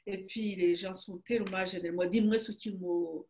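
A woman in her sixties from Rwanda with a Rwandan accent in French, a writer interviewed over a video call from her home, speaking haltingly and emphatically, often restarting her phrases. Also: medium pitch, fairly even intonation, darkish tone. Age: 60s. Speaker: author guest. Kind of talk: conversational interview. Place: home room. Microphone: video call webcam.

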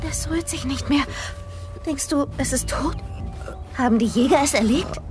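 A woman's voice: sits high, moderate pace, over music, natural voice. A woman playing a spirited, plucky young girl in a fairy-tale audio drama. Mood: concerned. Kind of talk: German audio drama acting.

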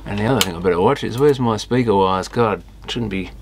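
A man's voice: lowish voice, a little fast, noisy background. A man giving casual, unscripted commentary as he tinkers with electronics, thinking aloud in fragments and trailing off. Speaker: electronics repairer and video host.

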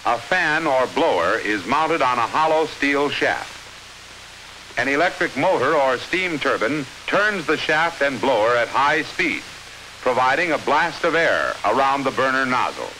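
An American man reading scripted 1950s instructional film narration in a measured, clearly enunciated, authoritative manner. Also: medium pitch, sharp tone, low-quality recording. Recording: hissy 1950s film soundtrack.

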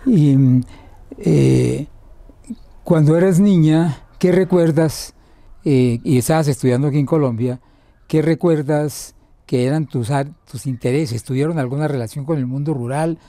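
An older man with a very dark, deep-toned voice asks questions in a friendly manner. He stalls often on fillers and restarts his sentences. The sound is dry, recorded from a camera set back in a bright indoor room. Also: unhurried, vocal fry, no room sound. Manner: hesitant, lots of fillers, friendly. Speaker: interviewer for a birding club. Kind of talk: casual conversational interview.

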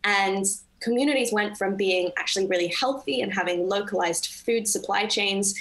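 A woman's voice: mid-range voice, normal speed, fairly bright tone. A young woman who grew up in Indonesia, speaking as a panelist on a video call in a thoughtful, articulate way with frequent ums. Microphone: laptop webcam on video call.

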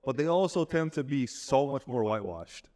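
A man's voice: medium pitch, animated, normal speed, a little mellow. A young man from the Bay Area, a podcast co-host who rambles, laughs often and pokes fun at himself. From Fremont, Bay Area, California. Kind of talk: casual podcast chat. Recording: hall reverb.

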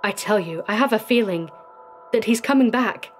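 A woman voicing a nervous, guilt-haunted male district attorney in a dramatic story reading, anxious and halting. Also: mid-range voice, faint music behind, close to an everyday voice. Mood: uneasy foreboding. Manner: anxious, halting, stammering in fright.

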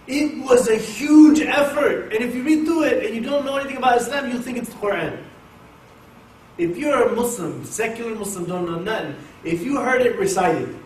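Male Quran teacher from Oklahoma, casual and joking, picked up from a distance with room echo and light hiss. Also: high-pitched. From Oklahoma, USA. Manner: jokey, animated, talks to the audience. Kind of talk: informal religious class. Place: mosque hall with an audience. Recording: echoey room, distant mic, faint hiss.

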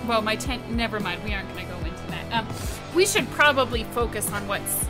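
A woman's voice: medium pitch, expressive, a little fast. A woman playing casually in character in a tabletop roleplay podcast. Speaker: player in an actual play podcast. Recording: music clearly behind voice.

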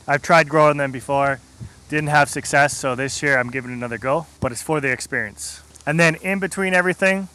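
Bright-voiced man giving a garden tour. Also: medium pitch. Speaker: gardening vlogger. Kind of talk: casual narrated garden tour. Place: outdoors in a residential yard.

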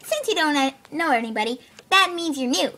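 A woman's voice: high voice, animated, fairly quick, bright, forceful tone. A woman voicing a hyperactive, bubbly young female cartoon pony in a giddy, exclamatory manner, as a fan impression. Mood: giddy, welcoming. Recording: noisy amateur handheld camera audio.

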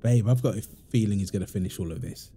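A man's voice: on the low side, fast talker, mellow, slight background noise, lightly acted. A man playing a playful, doting young father in an ASMR roleplay, teasing and affectionate with his family. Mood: amused, delighted. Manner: teasing, affectionate, laughing easily. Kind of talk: ASMR roleplay, improvised casual talk.

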